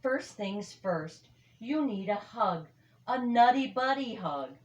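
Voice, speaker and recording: A woman's voice: on the high side, animated, takes it slowly, highs cut off, pitched above the performer's own voice. A woman voicing a kind young female bear cub in a storybook, speaking in an emphatic singsong in a roomy space. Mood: comforting, cheerful.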